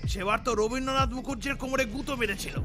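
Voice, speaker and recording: A man acts as an abusive village landlord's footman in an audio drama. His voice sits high, and he scolds with open contempt. Music plays prominently behind him. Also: fast talker. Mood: scolding.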